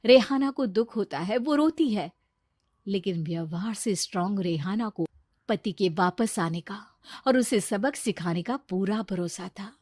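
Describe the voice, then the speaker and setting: A woman's voice: mid-range voice, a little slow, a little mellow, dry recording, clean studio sound. A woman delivering scripted narration for a moral cartoon story.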